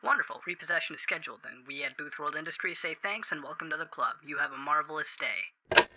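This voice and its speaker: A man's voice: fairly high pitch, quick pace, very noisy. A man playing an eerily courteous, inhuman corporate phone operator in a creepypasta audio drama, heard through a phone filter. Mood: menacing cheer. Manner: scripted, formal, coldly courteous customer-service patter.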